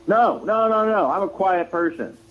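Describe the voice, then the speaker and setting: Phone-like voice of a high-pitched, combative man. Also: lively intonation, normal speed, slight background noise. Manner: combative, insulting, repeats himself insistently. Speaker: caller to a livestream show. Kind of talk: argumentative live phone-in banter. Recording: tinny phone-like livestream call.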